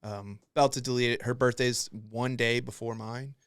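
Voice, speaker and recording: A man's voice: mid-range voice, steady tone, normal speed, clean tone, dry recording. A young man hosting a podcast, telling rambling, profane stories in casual chat and acting out what others said. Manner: profane, rambling storyteller who acts out quotes. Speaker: podcast host.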